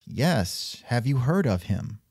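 Sardonic male comedy host, animated intonation, dry close mic. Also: mid-range voice, slow, husky, dry recording. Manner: sardonic, exasperated. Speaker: podcast host. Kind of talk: scripted comedic interview.

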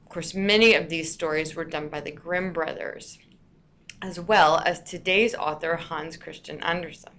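A woman narrating a scripted lesson as a teacher, with deliberate, storyteller-like emphasis. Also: low-pitched, steady tone, fairly bright tone.

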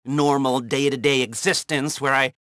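An actor playing a flippant, anxious, sarcastic intelligence handler in a video game, wry and excitable. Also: male voice, mid-range voice, moderate pace, no echo, clean studio sound, natural voice. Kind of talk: video game mission dialogue.

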